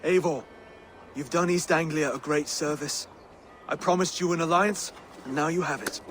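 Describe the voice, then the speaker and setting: An actor playing a gentle, earnest and pious Saxon king in a dramatic video game. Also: on the high side, fairly even intonation, moderate pace, slight background noise, close to an everyday voice. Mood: sorrowful, grateful. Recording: music behind the voice.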